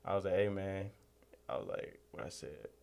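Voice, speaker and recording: A young man hosting a casual radio chat who jokes around and is quick to apologize for teasing. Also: sits low, wildly swooping pitch, gravelly, darkish tone. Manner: joking, playful, quick to apologize. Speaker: radio show host.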